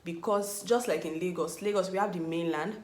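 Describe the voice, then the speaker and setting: A woman's voice: fairly low pitch, brisk, darkish tone, faint music behind. A young Nigerian woman in her twenties, talking casually to camera. She rambles, corrects herself and often searches for words. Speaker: vlogger. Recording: echoey room.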